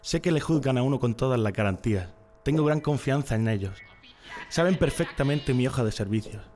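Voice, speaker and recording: A man's voice: mid-range voice, animated, brisk, darkish tone, slight background noise, lightly acted. A man with a Spanish accent from Spain playing a naive, loyal party man in jail, earnest and confiding, in a radio drama. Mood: anxious, hopeful. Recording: music behind the voice.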